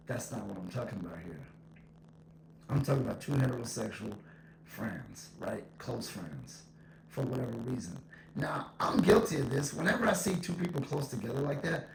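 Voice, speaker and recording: A man's voice: medium pitch, vocal fry. A man hosting a solo podcast, giving an informal, blunt and emphatic opinion monologue that repeats its points, with an echo effect on his voice. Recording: echo effect, faint background noise.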